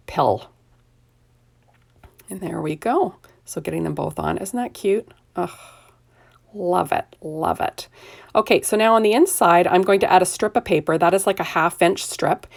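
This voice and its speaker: Hoarse older lady, real low and gravelly, chatty crafting walkthrough, keeps saying there we go. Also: a little mellow. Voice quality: rough voice. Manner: chatty, self-deprecating, narrates each step with "there we go". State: hoarse, recovering from illness. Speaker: card-making video host. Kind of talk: casual crafting tutorial narration.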